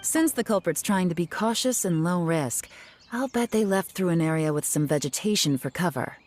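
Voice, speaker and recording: A woman's voice: on the low side, expressive, moderate pace, a little mellow. A woman voicing a composed, confident investigator and expert tracker in a video game, with assured, lightly teasing delivery. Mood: analytical, focused. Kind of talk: scripted video game dialogue. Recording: game music behind the voice.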